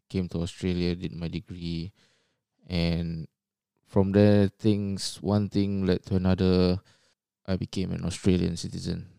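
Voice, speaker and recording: A man from Singapore hosting a casual solo podcast, who describes his own voice as low and monotonous and talks with many fillers. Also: creaky, clean tone, no room sound. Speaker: podcast host.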